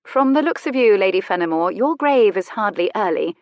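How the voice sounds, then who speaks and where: A woman's voice: mid-range voice, animated, brisk, no room sound, dull audio, lightly acted. A woman acts out a worldly young widow and courtesan from a romance storybook. She is cool, bold and unflappable, with playful wit. The recording is close and dry. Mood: dry, challenging.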